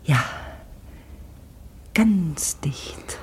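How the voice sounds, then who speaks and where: An actress in a literary German radio play voicing a gentle, melancholy middle-aged mother in a measured, wistful way. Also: low voice, expressive, slightly rough, in a whisper, exaggerated voice. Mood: hushed, wistful. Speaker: radio drama actor.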